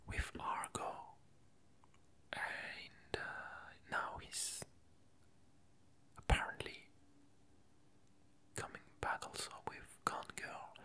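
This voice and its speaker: Slow, bright ASMR whisper. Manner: hesitant, halting, self-correcting. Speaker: ASMR video creator. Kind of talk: whispered ASMR, casual rambling chat.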